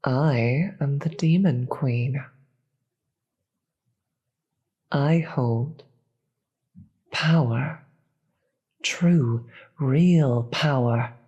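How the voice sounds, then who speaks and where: A performer voicing a proud, imperious demon queen in a fantasy audio roleplay, deliberate and theatrical, with a breathy voice and contempt for the gods. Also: medium pitch, a little mellow, full character voice. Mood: imperious, menacing. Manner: deliberate, theatrical, grandiose speech with dramatic pauses.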